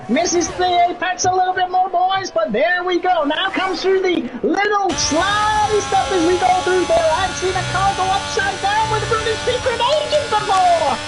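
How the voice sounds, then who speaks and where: Brief: loud folksy Southern lawman, extremely high-pitched shouty race commentary, noisy recording. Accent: put-on Southern US. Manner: shouty, folksy, bombastic drawl. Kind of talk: in-character sim racing commentary.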